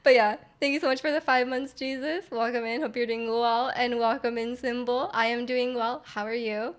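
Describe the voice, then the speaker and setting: A young adult woman live-streaming casual reactions, chatty and halting as she repeats phrases. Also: a little high, steady tone.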